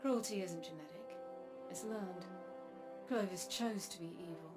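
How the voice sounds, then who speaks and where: An actress as a grave woman from a dark future, over music. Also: fairly low pitch, lightly acted. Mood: somber, reflective. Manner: solemn, measured, dramatic delivery. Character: grave, weary woman from a dark future, grieving elder sister. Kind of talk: scripted video game cutscene narration. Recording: game audio with music underneath.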